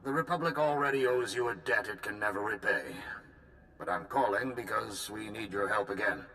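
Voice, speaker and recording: A man's voice: lowish voice, moderate pace, pushed and bright, slight background noise. An actor playing a male supreme commander giving a formal, urgent mission briefing in a video game cutscene. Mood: earnest. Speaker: military commander.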